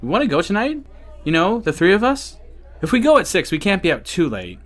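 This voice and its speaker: An eager high school boy in an audiobook drama. His voice is on the high side and swings expressively, with a friendly, chatty, upbeat manner. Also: wildly swooping pitch, brisk, fairly bright tone, heavily acted. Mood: excited, eager. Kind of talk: audiobook character acting.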